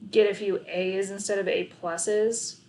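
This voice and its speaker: A woman's voice: medium pitch, little pitch movement, unhurried, darkish tone, a little hiss or hum, close to an everyday voice, rough recording. Woman reading as a cheeky schoolboy brother. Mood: teasing.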